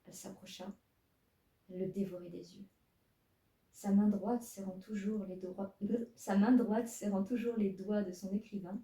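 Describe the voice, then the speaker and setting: Brief: a woman reading her own story aloud, mellow and dark, in a roomy room. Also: mid-range voice. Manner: self-mocking asides, stumbles over her text. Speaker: fanfiction reader. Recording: roomy, distant mic.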